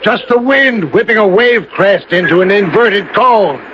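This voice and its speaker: Radio play professor: genteel, rational skeptic, high-pitched voice, muffled and noisy old broadcast. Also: male voice, expressive, unhurried. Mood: dismissive. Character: skeptical, polite male professor, man of science. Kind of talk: 1980s radio drama acting.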